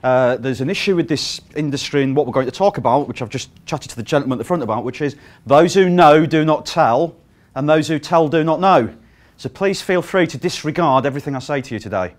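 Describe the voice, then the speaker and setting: A man's voice: medium pitch, brisk. A middle-aged British man giving a conference talk, projecting his voice without a microphone and speaking with plenty of ums and uhs. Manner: projecting to fill a room without a sound system; lively, frequent ums and uhs. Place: conference room.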